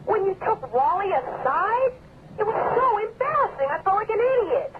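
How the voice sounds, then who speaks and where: An actress playing an anxious, reproachful young wife in a radio drama, emotional and scolding. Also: female voice, very high voice, sing-song, moderate pace. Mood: exasperated, embarrassed. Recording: tinny phone or radio link, noisy background.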